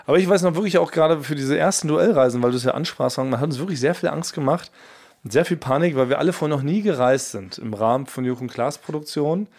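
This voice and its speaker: Fast-talking, higher-voiced chatty man. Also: a little mellow. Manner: chatty, playful exaggeration, colloquial slang. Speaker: podcast co-host. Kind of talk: casual comic chat.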